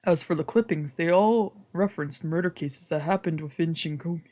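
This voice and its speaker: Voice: mid-range voice, fairly quick, a little mellow. A streamer reading a visual novel's narration aloud in first person, translating the lines, with whispered asides.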